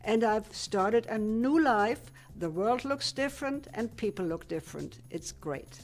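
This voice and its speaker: An older woman from Germany, a retired physicist, answering questions in a studio TV interview in a measured, plain-spoken way. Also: a little high, expressive, darkish tone, faint music behind. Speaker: guest, retired physicist turned painter.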